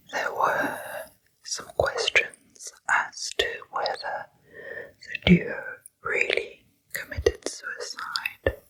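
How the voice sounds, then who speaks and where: A woman whispering a slow true crime story as an ASMR narrator. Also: rough recording. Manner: slow, soft unvoiced whisper throughout.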